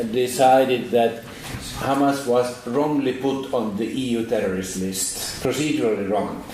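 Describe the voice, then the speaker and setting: A man's voice: mid-range voice, dark tone, slight background noise. A man on a seminar panel giving firm, explanatory answers to audience questions. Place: university seminar hall. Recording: echoey hall, mic at a distance.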